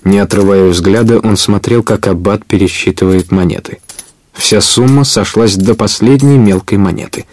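Male narrator, fairly low pitch, expressive storybook narration, scripted audio play. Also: normal speed.

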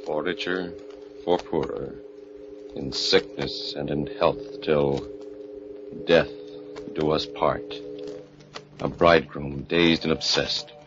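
A man's voice: rumbling low, steady tone, takes it slowly, pauses often, noisy background. A man narrating a 1940s radio thriller as an ominous, solemn, portentous announcer. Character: ominous mystery-show narrator. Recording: muffled vintage radio recording.

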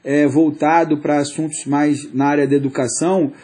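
Husky man with halting fillers. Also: fairly high pitch, flat delivery, mellow. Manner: halting, lots of fillers and restarts. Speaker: podcast co-host. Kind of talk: interviewing a guest.

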